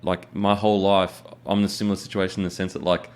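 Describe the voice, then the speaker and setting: Deep-voiced blokey Australian man. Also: flat delivery, quick pace, darkish tone, clear, resonant tone. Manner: blokey, encouraging, says bro a lot. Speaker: podcast host. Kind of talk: casual podcast chat.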